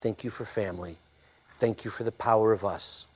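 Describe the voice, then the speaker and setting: A male pastor preaching a sermon and praying, with a loud, forceful voice, emphatic when preaching and hushed in prayer. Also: on the low side, fairly even intonation, normal speed, slightly rough.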